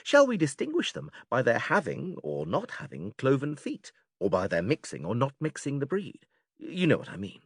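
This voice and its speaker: A patient, didactic philosopher leads a formal dialogue step by step. His pitch swings very widely as he questions and instructs. The voice is noticeably husky and recorded close and dry. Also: huge pitch swings, normal speed, no echo, full character voice. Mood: methodical. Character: male philosopher stranger, patient, methodical, leading an argument. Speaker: audiobook actor reading philosophical dialogue. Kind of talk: dramatised classical dialogue reading.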